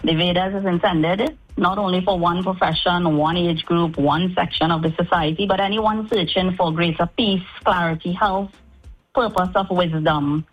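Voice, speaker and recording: A woman with a Trinidadian accent and a slightly low voice speaks as a radio guest. Her phrasing is formal and articulate, like a prepared announcement. The sound is somewhat dull and lo-fi. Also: fairly even intonation, normal speed, faint music behind. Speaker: guest spokesperson for an event.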